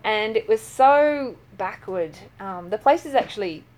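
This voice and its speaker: A woman's voice: mid-range voice, lively intonation, unhurried, a little mellow. A woman with an Australian accent, an author telling stories in a casual interview, chatty and full of ums. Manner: chatty storyteller, many fillers. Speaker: author and guest.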